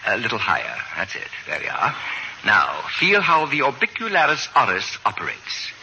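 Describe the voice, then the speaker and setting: An actor playing a haughty, self-satisfied genius of a household helper in old radio comedy, with precise, cutting speech. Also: male voice, mid-range voice, huge pitch swings, normal speed, vocal fry, bright, forceful tone, full character voice. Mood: smug. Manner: pompous, precise, cutting wit. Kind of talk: 1940s radio comedy acting. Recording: slightly noisy old radio broadcast.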